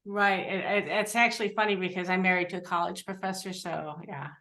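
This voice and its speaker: A woman's voice: fairly low pitch, steady tone, fairly quick, pushed and bright. A woman interviewer asking casual questions, phrasing them informally and a little hesitantly.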